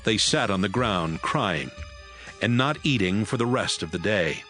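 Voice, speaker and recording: A man narrating scripture as a measured, scripted storyteller in an audio Bible. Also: fairly low pitch, animated, fairly bright tone, soft background music.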